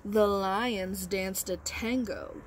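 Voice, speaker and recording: A woman's voice: mid-range voice, steady tone, takes it slowly, a little hiss or hum. A woman teacher leading storytime for young children, explaining things simply and prompting them with questions. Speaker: early-grade teacher.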